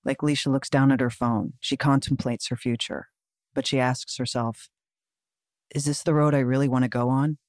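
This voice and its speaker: A woman co-hosts a podcast in relaxed chat, teasing and agreeable. Her voice sits mid-range and the sound is dry and close. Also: very deep voice, normal speed, no echo. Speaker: podcast co-host, actress. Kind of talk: casual chat.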